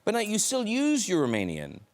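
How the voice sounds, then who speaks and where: Lively man hosting a chat show. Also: high-pitched. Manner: quick, teasing questions. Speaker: talk show host.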